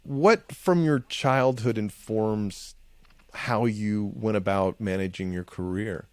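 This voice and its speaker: A man hosting an interview, asking gentle, curious questions with frequent restarts. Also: fairly low pitch, takes it slowly, smooth, no echo. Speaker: podcast host.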